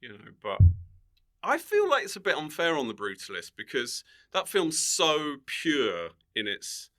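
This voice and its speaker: A man's voice: on the high side, wildly swooping pitch, unhurried, pushed and bright. A British man speaking as a comedy podcast guest, casual and conversational with a dry, tongue-in-cheek manner.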